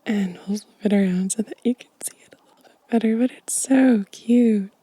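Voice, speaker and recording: A woman speaks in a very soft, dark ASMR voice, mostly whispered and full of breath. She is gentle and casual, drifting between whisper and quiet voiced speech as she shows things off. The sound is close and dry. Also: medium pitch, moderate pace, slightly rough, no echo. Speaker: ASMR video host. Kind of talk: ASMR show and tell, whispered and soft-spoken. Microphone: close microphone, dry sound.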